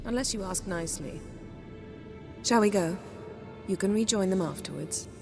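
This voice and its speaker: An actress voicing a proud, sharp-tongued fantasy sorceress who speaks in an articulate, sardonic way for a video game. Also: female voice, medium pitch, lively intonation, normal speed, creaky, music in the background. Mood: coolly flirtatious. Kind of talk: cinematic game voice acting.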